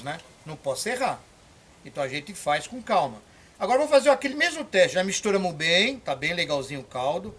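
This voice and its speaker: Folksy high-voiced older farmer. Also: male voice, a bit bright, a little hiss or hum. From Brazil. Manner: folksy, encouraging, addresses viewers directly. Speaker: orchard farmer teaching. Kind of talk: hands-on demonstration.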